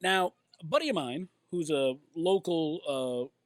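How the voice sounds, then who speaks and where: A man co-hosting a casual talk show from a bar, animated and jokey. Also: high-pitched, measured pace, dry recording. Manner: animated, jokey, slips into playful accents. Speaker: co-host.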